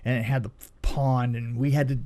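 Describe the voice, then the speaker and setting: A man's voice: medium pitch, moderate pace. A middle-aged man telling casual stories as a guest, often repeating phrases as he goes. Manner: animated retelling with repeated phrases and acted-out quotes. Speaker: film effects veteran, podcast guest. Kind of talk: casual anecdotal storytelling.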